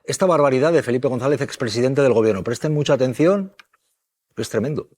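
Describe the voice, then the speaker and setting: A man's voice: medium pitch, animated, a little fast, a little mellow. A man hosting a TV debate, putting wry, probing questions to his panel. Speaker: host. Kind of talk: moderating a TV debate.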